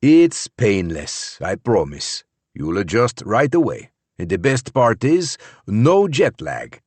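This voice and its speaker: A man's voice: lowish voice, wildly swooping pitch, takes it slowly, a little mellow, slightly rough, no echo, full character voice. A man voicing a tall, bearded property manager who speaks formally, with a sing-song foreign lilt. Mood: courteous. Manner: formal, courteous.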